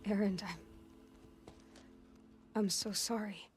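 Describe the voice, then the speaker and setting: An actress playing a sympathetic, resolute young huntress with a gentle, earnest manner in a game cutscene. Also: female voice, mid-range voice, fairly even intonation, slow, natural voice. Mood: compassionate. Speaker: actor in a video game cutscene. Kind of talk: dramatic game acting. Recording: music behind the dialogue.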